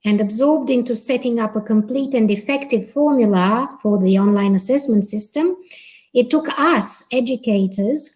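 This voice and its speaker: Measured Romanian-born woman on video call. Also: on the high side, a little mellow, low-quality recording. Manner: measured, careful, with frequent "uh" and "um". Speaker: guest panelist, associate professor. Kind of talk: prepared conference presentation.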